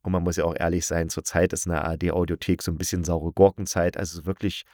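A man's voice: sits low, flat delivery, fast talker, a little mellow, no echo, clean studio sound. A man giving a casual, opinionated review monologue as a podcast host, rambling and correcting himself in blunt colloquial language. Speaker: podcast reviewer of audio dramas.

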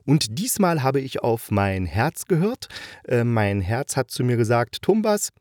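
A man's voice: medium pitch, big pitch swings, a little slow, a little mellow, no echo, clean studio sound. A man hosting a podcast solo, talking casually in a playful, self-ironic, rambling way as he reviews. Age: adult. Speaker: podcast host reviewing audio dramas.

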